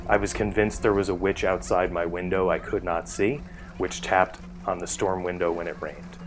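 A man's voice: low voice, steady tone, moderate pace, background noise. A middle-aged man from New Jersey with an American accent, reading a scripted first-person narration for a horror docuseries. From New Jersey, United States. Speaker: author telling a personal essay. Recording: music bed behind the voice. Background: guitar music.

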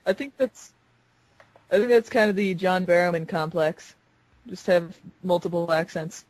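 A man's voice: high-pitched, steady tone, normal speed, no room sound, low-quality recording. A man co-hosting a voice-call chat, teasing and joking with the guests. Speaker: co-host. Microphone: video call.

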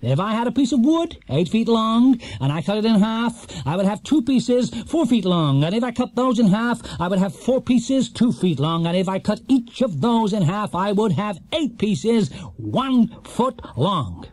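Guy doing a smug quiz show mc in a kids story, husky, swoopy showman voice, drags words out. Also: high-pitched, very animated, darkish tone, no room sound, voice pushed up. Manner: self-satisfied, drawn-out showman delivery. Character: smug, showy male quiz show host. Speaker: master of ceremonies.

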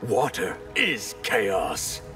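A man voicing a proud, calm water mage warrior in a video game, measured and imperious. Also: fairly low pitch, distant mic, full character voice. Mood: proud, defiant. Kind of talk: video game character dialogue. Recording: music behind the voice.

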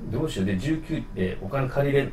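Animated, fast-talking man telling stories. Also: mid-range voice. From Osaka area, Japan. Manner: animated storyteller, acts out remembered dialogue. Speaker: actor being interviewed. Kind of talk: casual interview storytelling. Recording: roomy echo, faint background noise.

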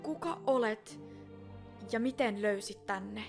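A woman voicing a wary, determined young sheltered fairy-tale princess in an animated film fan dub, speaking emphatically and deliberately. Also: mid-range voice, music in the background, natural voice. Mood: defiant, scared.

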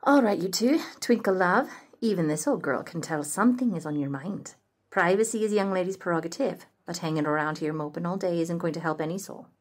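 A man's voice: high-pitched, fairly quick. A man voicing a kindly, shrewd older café owner with a British accent who calls everyone love and dear. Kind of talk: audio roleplay acting.

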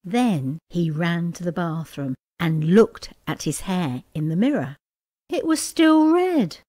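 A woman reading a children's story aloud in an expressive, dramatic and playful way. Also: fairly low pitch, slow. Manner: expressive, counts out words playfully. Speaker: picture-book reader.

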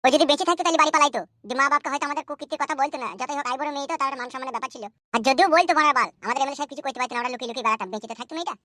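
A man's voice: very high voice, rapid-fire, few pauses, sharp tone, dry recording, clean studio sound. A man voicing an anxious, foul-mouthed young village troublemaker in a comedy cartoon, bluntly arguing in a rural West Bengal accent. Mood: tense, bickering. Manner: blunt, argumentative, rambling.

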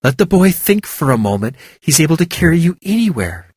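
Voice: medium pitch, sing-song, brisk, a little mellow, husky, dry recording, clean studio sound, pitched above the performer's own voice. A narrator voicing a proud female legendary snow leopard who speaks in a stern, scornful growl.

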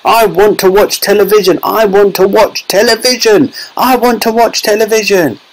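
Storybook brat: man as miniature boy, high and squeaky, boastful, childishly insistent. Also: steady tone, normal speed, lightly acted, poor audio. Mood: tantrum. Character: tiny shrunken boy, squeaky, boastful, bratty.

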